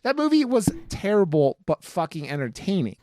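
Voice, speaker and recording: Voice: mid-range voice, big pitch swings, moderate pace, a little mellow, no room sound. A podcast host in a casual film discussion, talking informally with plenty of swearing and slang.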